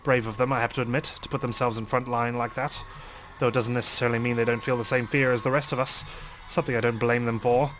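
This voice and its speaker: A man's voice: mid-range voice, level tone, fast talker, a bit bright, slight background noise. A man reading a visual novel aloud as a let's play host, in dramatic, theatrical first-person narration.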